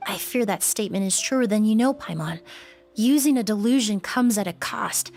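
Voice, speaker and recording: An actress playing a composed young woman perfumer and investigator, speaking in a calm, measured, thoughtful way in a video game. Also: medium pitch, creaky. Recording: game audio with faint music. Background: game music.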